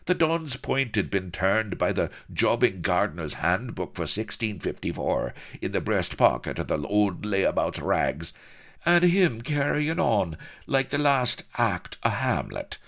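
A man voicing a brash male colonel, a swaggering soldier of fortune who talks in a gruff, scornful way. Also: low voice, big pitch swings, measured pace, fairly bright tone, pitched above the performer's own voice, exaggerated voice.

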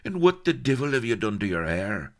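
A man's voice: mid-range voice, sing-song, brisk, pitched above the performer's own voice. A man voicing a sarcastic, anguished rogue of a colonel held captive, groaning out archaic oaths and insults in an audiobook story. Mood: gasping, flattering. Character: hanging roguish Colonel, sarcastic, anguished, bombastic pirate captive.

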